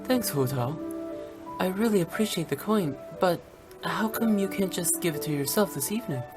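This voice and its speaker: A woman voicing a friendly young opera singer who talks in a quick, chatty, curious way, in a video game. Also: lowish voice, lively intonation, over music, lightly acted.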